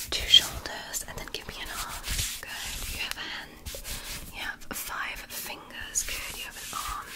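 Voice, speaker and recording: A woman whispering as a gentle alien woman doctor in an ASMR roleplay, softly praising and reassuring her patient. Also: close to an everyday voice, rough recording. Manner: soft, slow, praising and reassuring.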